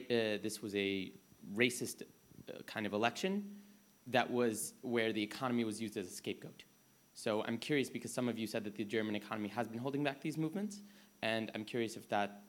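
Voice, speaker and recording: An American man speaks from the floor at a panel discussion. His voice sits a little low, and he talks in an unscripted way, repeating phrases as he builds his question. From United States. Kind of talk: spontaneous public question.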